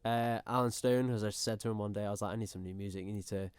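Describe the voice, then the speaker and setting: A man's voice: fairly low pitch, fairly even intonation, quick pace, clean tone, dry recording. A young man with a Manx accent, a singer, chatting easily and laughing as a guest in a radio studio. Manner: laughing, easygoing. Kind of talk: casual radio interview.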